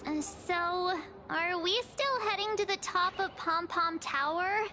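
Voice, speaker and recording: A woman voicing a small, excitable, earnest young companion in a video game, squeaky and full of exclamation. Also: takes it slowly, faint music behind, full character voice. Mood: worried.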